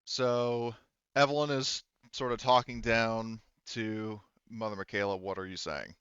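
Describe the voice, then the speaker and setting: A man's voice: fairly low pitch, fairly even intonation, a little slow, a bit bright, clear, resonant tone, no echo, dull audio. A man acting as game master, narrating a tabletop game casually in an explanatory, conversational way with frequent qualifications.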